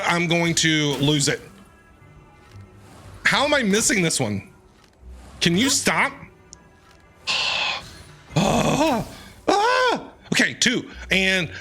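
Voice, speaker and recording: Excitable guy gamer, bright high voice, panicky, repeats himself, game music behind him. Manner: panicky, exclamatory, repeats phrases rapidly. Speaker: streamer playing and reacting to a video game. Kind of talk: excited game stream commentary.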